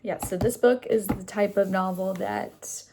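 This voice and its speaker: A woman's voice: medium pitch, measured pace, background noise. A young woman chatting casually in a vlog about her day and a book she is reading. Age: young adult. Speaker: vlogger.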